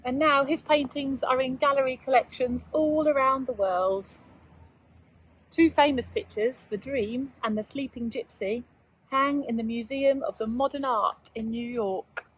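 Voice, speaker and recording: A woman teacher reading aloud and chatting to young pupils in a measured, child-directed way. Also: high-pitched, fairly even intonation, slightly rough, no echo, low-quality recording. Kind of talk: children's read-aloud and informal lesson talk.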